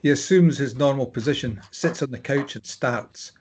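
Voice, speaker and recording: A man's voice: medium pitch, moderate pace, darkish tone, a little hiss or hum. A man narrating scripted stage directions at a drama table read.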